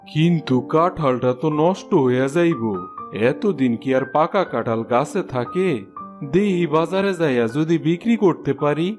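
Man playing a weary old villager, higher-pitched. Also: normal speed, over music, lightly acted. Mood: pleading. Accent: rural Bangladeshi Bengali dialect. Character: poor, weary, pleading old village husband. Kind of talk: cartoon voice acting.

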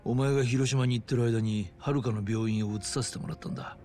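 A male actor playing a seasoned older detective in a video game, gruff, informal and pragmatic, with a gravelly voice. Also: mid-range voice, steady tone, fairly quick, mellow, soft background music, natural voice. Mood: serious. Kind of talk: dramatic video game acting.

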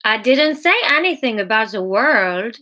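A woman's voice: a little high, expressive, a little slow, bright, forceful tone. A woman voicing a firm, concerned holy man in an audiobook, speaking earnestly. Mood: firm, urgent.

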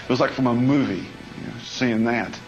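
Mid-pitched man on muffled tape. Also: fairly quick, very noisy. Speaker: interviewee. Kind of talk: interview recollection.

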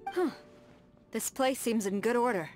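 An actress playing a stern, proud tengu warrior woman in a video game, with composed, firm and measured formal speech. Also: a little high, close to an everyday voice. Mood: reserved, polite. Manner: formal, measured, precise diction. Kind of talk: scripted video game character dialogue. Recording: studio voice over background music.

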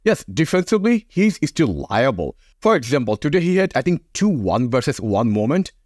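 A young man hosting a football fan channel, reacting in casual, excitable English with small grammar slips. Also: medium pitch, normal speed, dry recording, clean studio sound. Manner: excitable, fan talk in non-native English. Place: small room with teal backdrop.

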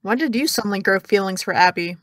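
A woman's voice: medium pitch, expressive, fast talker, dry recording, clean studio sound, natural voice. A young actress playing a sarcastic young woman who teases and needles in an audio drama. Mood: mocking. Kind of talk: audio drama dialogue of a video call.

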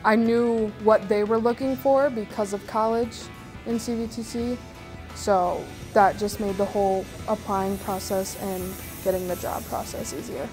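A woman's voice: medium pitch, fairly even intonation, unhurried. A young adult woman, a recent welding graduate, speaking sincerely and enthusiastically about her job. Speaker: graduate, welder. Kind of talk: promotional interview. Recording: music bed underneath.

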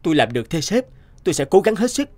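Driven young workaholic, lively high-pitched voice. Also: male voice, rapid-fire, dry recording. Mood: eager, pleading. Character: driven young workaholic programmer, stubborn and impatient. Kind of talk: cartoon drama voice acting.

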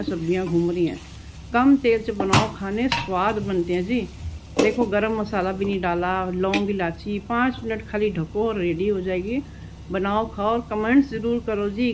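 A woman hosting a cooking video in her home kitchen, talking homely and instructive and repeating words for emphasis. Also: medium pitch. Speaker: cooking channel host. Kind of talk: chatty cooking narration. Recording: loud sizzling pan noise. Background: frying and stirring sizzle.

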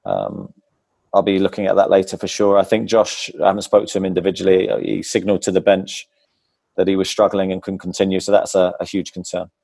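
A man in his forties, a football manager, answering post-match press questions over a video call through a wired earphone mic, measured and hesitant, often pausing with ums. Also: low-pitched, vocal fry, darkish tone, slightly rough, dry recording. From England. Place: club press room before a sponsor board. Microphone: wired earphone headset on a video call.

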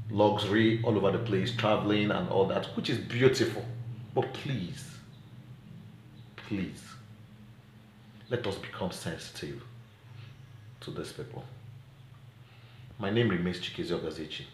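A middle-aged man with a Nigerian accent delivers an earnest appeal in a low voice. His phrasing is deliberate and emphatic. The phone recording sounds roomy, with faint noise behind it. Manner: deliberate, emphatic, rhetorical questions. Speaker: child welfare advocate and presenter. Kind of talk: earnest advocacy vlog to camera. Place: small indoor room at home. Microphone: phone at arm's length.

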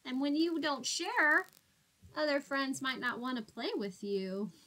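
A woman's voice: high voice, unhurried, sharp tone, poor audio. A woman in her twenties, a teacher reading a storybook and talking simply to small children. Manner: simple explanatory phrasing with questions to children. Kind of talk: storybook read-aloud and talk to small children. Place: small plain room indoors.

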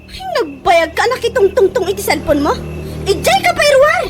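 A woman's voice: extremely high pitch, big pitch swings, moderate pace, noisy background. A woman playing a nagging, suspicious middle-aged village wife in an Ilocano comedy radio drama, whiny and scolding with a Filipino Ilocano accent.

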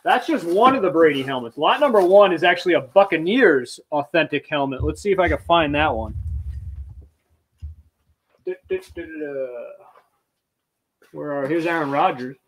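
A man's voice: fairly high pitch, expressive, moderate pace, pauses often, breathy edge, rough recording. Chatty man hosting a live auction stream. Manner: chatty, reads viewer comments aloud, moves around while talking. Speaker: auction livestream host. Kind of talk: casual live-stream chat. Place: small storage room full of shelves, indoors. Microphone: webcam mic at desk, sometimes farther away.

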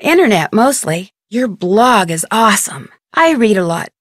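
A woman voicing a plucky, polite young amateur detective in a video game, with an American accent and clear, articulate delivery. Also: mid-range voice, sing-song, measured pace, no echo, studio quality. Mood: friendly, casual. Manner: clear, articulate, friendly direct address. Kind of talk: scripted video game dialogue and tutorial narration.

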